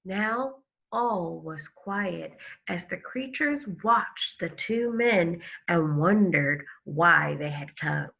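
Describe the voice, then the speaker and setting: Female primary teacher, read-aloud: bright tone, unhurried, some creak, emphatic delivery. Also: lowish voice, expressive. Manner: deliberate, emphatic, questioning. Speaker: second grade teacher. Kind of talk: instructional read-aloud for children.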